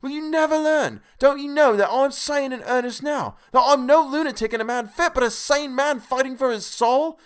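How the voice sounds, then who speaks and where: A man's voice: very high-pitched, moderate pace, few pauses, clean tone, pitched above the performer's own voice. A man voicing a frantic male asylum patient who pleads desperately to be released. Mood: frantic pleading. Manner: desperate, pleading, rising to shrieks. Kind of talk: audiobook character voice.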